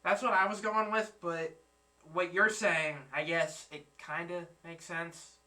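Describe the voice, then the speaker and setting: A man's voice: a little high, level tone, a little slow, bright, forceful tone, distant mic. A man in his twenties hosting a reaction video, chatting casually and searching for his words. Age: 20s. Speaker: reaction channel host. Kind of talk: casual chat.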